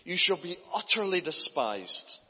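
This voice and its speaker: A man, a guest preacher speaking to a church congregation and reading scripture aloud, in a loud, earnest voice. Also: high-pitched, big pitch swings, measured pace. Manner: loud, earnest, builds in force when reading. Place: church hall. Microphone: handheld mic. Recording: dull distant hall recording.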